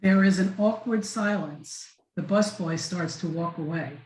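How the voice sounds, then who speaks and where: In a roomy, echoing recording, a woman with a fairly low voice reads out stage directions. She narrates a script at a table read. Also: a little slow, darkish tone, a little hiss or hum. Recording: echoey room.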